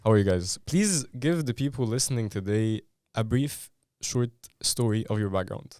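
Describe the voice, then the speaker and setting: A man's voice: on the low side, normal speed, darkish tone, no echo, clean studio sound. A man hosting a casual podcast interview, asking playful, teasing questions. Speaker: podcast host.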